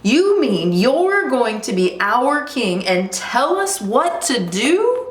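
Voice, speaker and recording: A woman's voice: high-pitched, big pitch swings, slow pace, voice pushed up, full character voice. A woman voicing an indignant, disbelieving leopard in a children's storybook reading. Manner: outraged, disbelieving. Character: indignant leopard challenging a would-be king. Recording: roomy echo, faint background noise.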